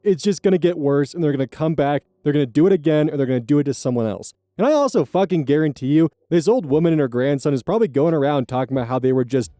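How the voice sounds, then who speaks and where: A man narrates online stories as host, his pitch sitting a bit high and swinging widely. His tone is mellow and dark rather than bright, and the sound is dry and close. He breaks off into blunt, profane commentary. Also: quick pace, hardly any pauses, dry recording. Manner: animated, blunt and profane in asides. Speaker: host reading Reddit stories. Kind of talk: story reading with casual commentary.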